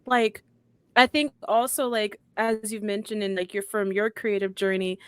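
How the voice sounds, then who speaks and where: A woman's voice: mid-range voice, fairly even intonation, moderate pace, clear, resonant tone, no echo. A young woman hosting a casual chat, slangy and effusive, often rephrasing as she goes. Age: young adult.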